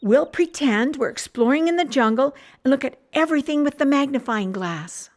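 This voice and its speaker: Woman playing little storybook girl, high and lilting, imaginative, enthusiastic, explains eagerly. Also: lively intonation, moderate pace. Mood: excited. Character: bright, bossy-friendly little girl playmate, imaginative. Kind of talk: children's storybook read-aloud.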